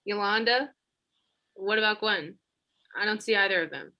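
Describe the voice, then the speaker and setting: An actress playing a brisk, sardonic office meeting leader in a comedy web series, businesslike with dry sarcasm. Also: female voice, medium pitch, muffled recording, close to an everyday voice.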